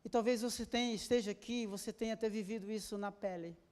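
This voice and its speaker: Man, pastor, from Brazil, very high pitch, sermon in church, emphatic build-ups. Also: steady tone. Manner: builds to loud, slow, emphatic preaching climaxes.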